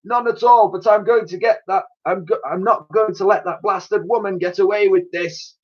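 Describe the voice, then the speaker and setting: A man's voice: high voice, steady tone, normal speed, pitched above the performer's own voice. A man voicing a pompous, indignant old navy admiral in a storybook, full of exclamations. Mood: indignant, wounded. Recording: dull, lo-fi sound.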